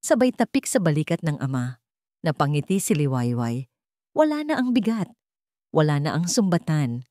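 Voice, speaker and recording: A woman reads a dramatic love story as its narrator. Her voice is low and soft-edged, with widely moving intonation, in a very dry recording. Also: no room sound.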